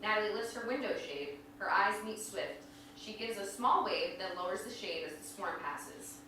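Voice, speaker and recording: A woman narrates stage directions from a script at a live play reading. Her bright, crisp-edged voice comes from some distance in a very echoey room, with faint noise. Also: mid-range voice, moderate pace.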